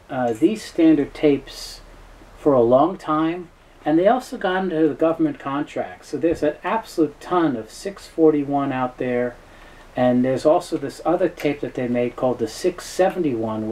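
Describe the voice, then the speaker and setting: Male presenter, mellow tone, halting and factual unscripted explainer, slight background noise. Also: mid-range voice, low-quality recording. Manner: halting, matter-of-fact, knowledgeable. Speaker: tape dealer presenting a product overview.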